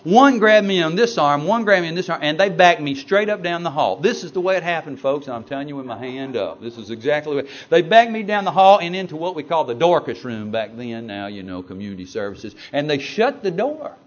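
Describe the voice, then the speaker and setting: A Southern-accented pastor tells a story from the pulpit, swinging his voice up and down with chatty asides and stammers for effect. His voice sits a little high for a man. The recording is dull and lo-fi. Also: big pitch swings, fairly quick, hardly any pauses. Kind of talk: storytelling sermon. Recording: dull lo-fi sound.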